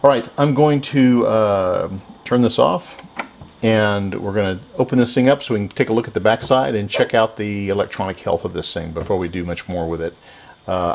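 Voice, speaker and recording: A man giving casual, unscripted narration of hands-on repair work, halting and thinking aloud as he goes. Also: on the low side, creaky, slight background noise. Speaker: repair video host.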